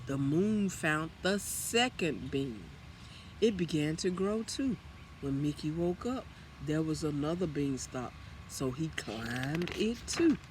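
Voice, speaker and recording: A man reading a children's story aloud as storyteller, in an expressive manner. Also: on the high side, a little hiss or hum. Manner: expressive, with acted character lines.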